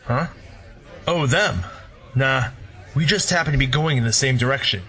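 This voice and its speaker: A man's voice: medium pitch, normal speed. A man voicing an easygoing, friendly drifter and former warrior. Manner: relaxed, friendly, wry.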